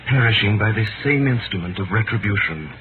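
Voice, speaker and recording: An actor playing a calm, tender man resigned to death, in solemn, measured 1930s radio drama style on a scratchy old recording. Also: on the low side, narrow, tinny audio, close to an everyday voice. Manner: solemn, measured, theatrical delivery.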